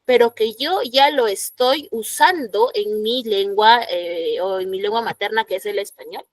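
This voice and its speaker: Give me a woman teacher with a Peruvian accent and a bright, raised pitch. She lectures in a dry, close sound and keeps checking her students are following. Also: unhurried, no room sound. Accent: Peruvian Spanish. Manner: explanatory, frequent "¿no?" checks, rhetorical questions to students. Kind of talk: classroom lecture.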